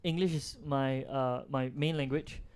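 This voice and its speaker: A man's voice: mid-range voice, fairly even intonation, unhurried, darkish tone, slight background noise. A man co-hosting a podcast, chatting casually in a rambling, self-mocking way. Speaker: podcast co-host. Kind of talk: casual chat.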